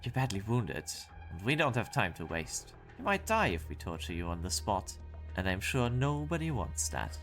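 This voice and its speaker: Man playing a low-voiced, menacing soldier. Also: sing-song, normal speed, a bit bright, faint music behind. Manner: threatening, self-assured. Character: menacing male enemy soldier interrogating a captive. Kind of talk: amateur anime game fan dub.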